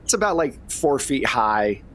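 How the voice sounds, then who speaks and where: A man's voice: mid-range voice, very animated, a little slow, a bit bright. A man working as game master, narrating a tabletop game in an informal, conversational way. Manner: informal, chatty.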